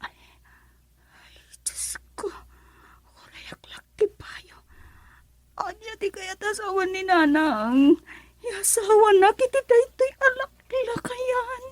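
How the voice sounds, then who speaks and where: A woman's voice: very high voice, slow, long pauses. A woman playing a reluctant young daughter in a radio drama, protesting plaintively with an Ilocano accent. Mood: distressed. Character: reluctant young daughter pressured to marry an old man.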